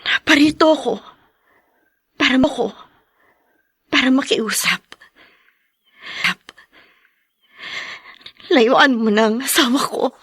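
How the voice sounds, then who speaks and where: An actress playing a wronged young wife who pleads meekly and grows tearful, in a radio drama. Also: female voice, sits high, a little slow, fairly bright tone, slightly rough, dry recording, clean studio sound, heavily acted. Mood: pleading, meek. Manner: meek and pleading, turning tearful.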